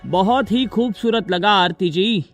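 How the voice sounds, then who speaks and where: A man's voice: high voice, unhurried, a bit bright, soft background music. A man playing a husband and father in a children's cartoon story, earnest and emphatic. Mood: polite. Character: reassuring husband and father, alarmed under threat.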